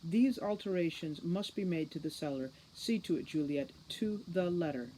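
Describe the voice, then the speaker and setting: A woman's voice: on the low side, normal speed, dark tone, a little hiss or hum, natural voice, rough recording. A woman reading a storybook as a formal, condescending young boy mastermind who lectures impatiently. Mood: brisk, commanding. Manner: formal, lecturing, precise diction.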